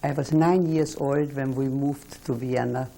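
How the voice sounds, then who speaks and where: Deep gravelly woman in her eighties. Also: steady tone, mellow, a little hiss or hum. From Hungary. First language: Hungarian. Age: 80s. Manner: slow, halting recollection with restarts. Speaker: interviewee. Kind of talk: oral history testimony.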